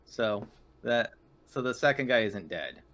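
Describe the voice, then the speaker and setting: Low-pitched man in casual gaming chat. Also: animated, a little slow, a bit bright. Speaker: tabletop RPG player. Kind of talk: casual rules discussion.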